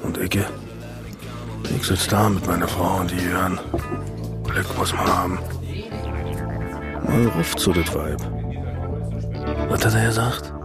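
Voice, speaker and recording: Slow, gravelly, envious sidekick. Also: male voice, deep voice, fairly even intonation, full character voice. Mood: envious muttering. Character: envious, grumbling down-at-heel male drinking companion. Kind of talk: German radio drama acting. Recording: loud music behind the voice.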